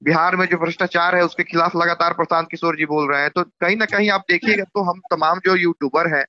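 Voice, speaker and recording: A man with a Bihari accent explaining politics informally in measured, repetitive phrases as an interviewee on a recorded phone call. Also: steady tone, bright, forceful tone, no room sound. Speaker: YouTuber. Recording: muffled low-bandwidth phone call recording.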